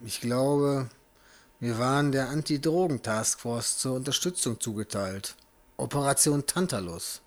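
A man's voice: mid-range voice, a little slow, natural voice. A man playing an agitated special agent in an amateur horror radio play, speaking in a curt, urgent, dramatic way. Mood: dazed, troubled. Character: agitated male special agent with lost memory.

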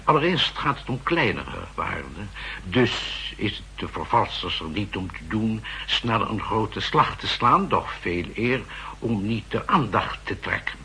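An actor playing a fussy, insistent central-bank expert who explains pedantically, in 1960s radio drama style. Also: male voice, on the low side, very animated, measured pace, vocal fry, pushed and bright, exaggerated voice. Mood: earnest, explaining. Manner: pedantic, emphatic, hesitating and repeating himself. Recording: noisy vintage 1960s radio recording.

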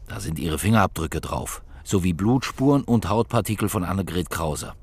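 A man's voice: low voice, expressive, normal speed, darkish tone, breathy edge, full character voice. A man playing a middle-aged chief homicide inspector in a radio drama, terse and insistent, formal in his questioning. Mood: formal, pressing. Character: male chief homicide inspector, authoritative, probing interrogator. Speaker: actor in a radio crime drama. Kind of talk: naturalistic German radio drama acting.

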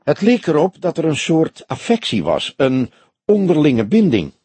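Man reading as a genteel, composed older woman, lightly husky. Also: fairly high pitch, wildly swooping pitch, no echo, voice pushed up, full character voice. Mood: thoughtful, disapproving. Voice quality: soft, friendly voice. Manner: measured, genteel, thoughtful. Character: older woman club member, composed, soft-spoken, frank. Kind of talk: audiobook character dialogue.

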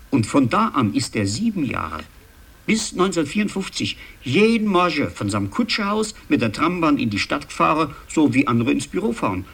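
Talkative gossip, slightly rough husky voice, very varied intonation, confiding asides, old radio drama. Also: male voice, medium pitch, a bit bright, full character voice. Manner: rambling, conversational, seeks agreement with listener. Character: chatty local man recounting an eccentric aristocrat's gossip. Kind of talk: 1960s radio drama acting.

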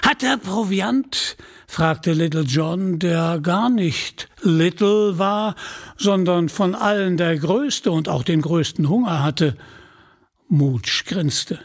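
Slow, higher-voiced man narrating a story.

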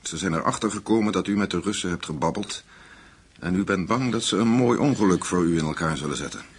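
An actor playing a composed, shrewd American CIA agent in a radio drama, measured, polite and probing. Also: male voice, sits low, husky. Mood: coolly probing. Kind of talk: Dutch radio drama acting.

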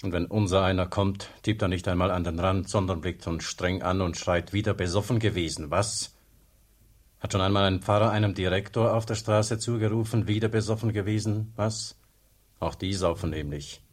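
Low-voiced grumbling village working man. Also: steady tone, moderate pace. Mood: grumbling, curious. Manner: blunt, grumbling. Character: plain-spoken, resentful village working man. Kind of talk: radio play acting.

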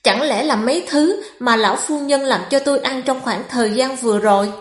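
A woman's voice: high-pitched, a little fast, roomy sound, natural voice. A woman voicing a scheming young wife who puts on dramatic, accusing grief in an audiobook story. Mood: shaken pleading.

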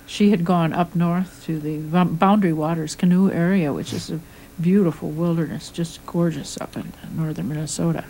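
Woman from michigan hosting a radio chat, kinda low voice, easygoing. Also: steady tone, moderate pace, few pauses, mellow, a little hiss or hum, low-quality recording. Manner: conversational, guides the talk. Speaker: radio host.

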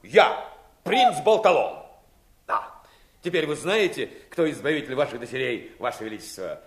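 A man's voice: mid-range voice, very animated, normal speed, reverberant, close to an everyday voice. A man playing a blustering, boastful rival prince in a comic storybook audio play, self-important and indignant. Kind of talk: theatrical comic fairy-tale radio play acting.

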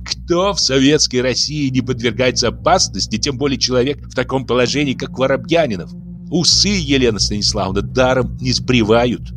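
A man voicing a pompous, blustering middle-aged locksmith who shouts with sneering irony. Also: high-pitched, very animated, slow, pitched above the performer's own voice, exaggerated voice.

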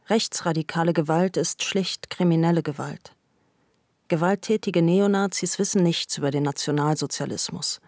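Female narrator, measured and factual documentary-style reading, close dry studio sound. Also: on the low side, darkish tone, no echo.

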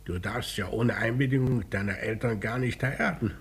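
An actor playing a stern, sardonic, paternal police inspector in a 1950s German radio drama, with an authoritative, wry manner. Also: male voice, medium pitch, normal speed, slight background noise, close to an everyday voice. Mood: stern questioning.

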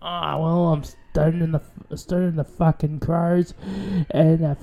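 A man doing a loud, profane impression of a cranky old Australian soap-opera fisherman with an Australian accent. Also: a little high, little pitch movement, unhurried. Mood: grumbling. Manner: gruff, loud, profane drawl.

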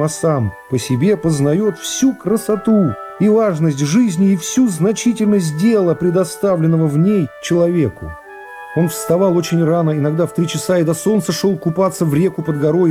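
A man narrating a literary radio play in a measured, expressive storytelling manner. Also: fairly high pitch. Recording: music behind the voice. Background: plucked guitar music.

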